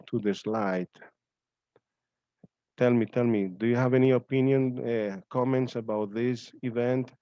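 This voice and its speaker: A man hosts an online event informally, speaking haltingly and repeating himself. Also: mid-range voice, smooth, no echo. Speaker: host of a student reading-aloud event. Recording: dull, lo-fi online call audio.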